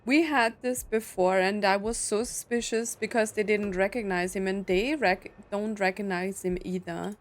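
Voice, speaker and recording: A woman's voice: mid-range voice, fairly even intonation, moderate pace, few pauses. A woman streamer giving casual, chatty playthrough commentary, thinking aloud as she plays. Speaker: gaming streamer.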